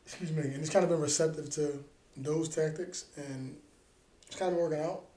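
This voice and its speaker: A man's voice: fairly high pitch, fairly even intonation, normal speed, dark tone. A man in his thirties co-hosting a podcast, chatting casually in a laid-back, joking way with frequent swearing. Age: 30s. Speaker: podcast co-host. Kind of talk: casual chat. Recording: echoey room with faint ambient noise.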